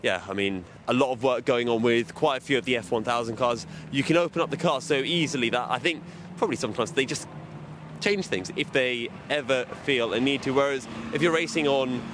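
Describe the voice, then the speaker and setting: A man's voice: mid-range voice, brisk. A young man works as a roving motorsport presenter. He speaks into a handheld microphone out in the open, with faint paddock noise behind him. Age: young adult. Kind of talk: roving paddock commentary and interviews. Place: outdoor motor racing paddock.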